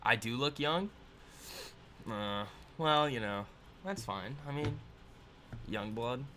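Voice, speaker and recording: A man's voice: mid-range voice, slow, noisy background. A man in his twenties hosting a casual trading livestream, rambling and laughing as he reads viewer comments aloud. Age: 20s.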